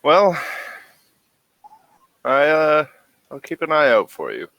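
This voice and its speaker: A man playing a courtly male general in a tabletop game, talking in a measured, courteous manner. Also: fairly high pitch, sing-song, gravelly, fairly bright tone, no room sound, highs cut off, voice pushed up, full character voice. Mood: guarded, measured. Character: courtly male general, gracious, slightly flirtatious.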